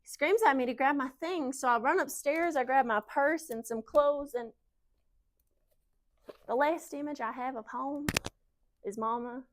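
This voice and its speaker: Casting: woman playing naive young wife, slightly high voice, confiding and halting, emotional stage monologue. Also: slight background noise. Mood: frightened, urgent. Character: anxious young Southern wife, naive, defending her husband. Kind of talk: dramatic monologue in speech competition.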